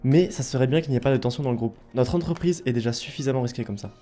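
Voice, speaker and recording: A man performs a loyal, level-headed leader of adventurers in an audio drama. He speaks briskly in a soft, dark-edged timbre, earnest and firm. Light music sits behind the voice. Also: medium pitch, close to an everyday voice. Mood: firm, serious. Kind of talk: French audio fiction acting.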